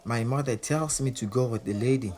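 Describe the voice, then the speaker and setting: An actor playing a proud, volatile Senegalese champion boxer, wounded underneath, confiding in an audio drama. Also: male voice, mid-range voice, brisk, darkish tone, close to an everyday voice. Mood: bitter, reflective. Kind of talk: audio drama acting with confiding first-person narration.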